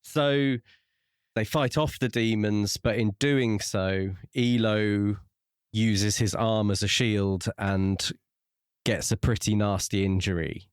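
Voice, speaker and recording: A man co-hosting a podcast, explaining a book in a measured, conversational way. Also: lowish voice, steady tone, clear, resonant tone, no echo, clean studio sound. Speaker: podcast co-host. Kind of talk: casual book discussion.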